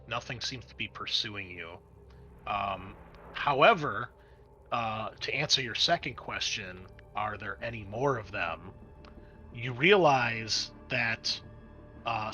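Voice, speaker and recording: Man, game master, bright forceful voice, casual and conversational, mid-range pitch, loose language. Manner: casual, conversational, swears freely. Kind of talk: narrating a tabletop roleplaying game.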